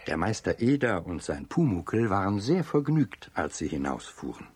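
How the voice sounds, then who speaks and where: A man's voice: low voice, sing-song, normal speed, a little mellow, husky, no echo, full character voice. A man narrating a children's radio play as a storyteller. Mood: storytelling.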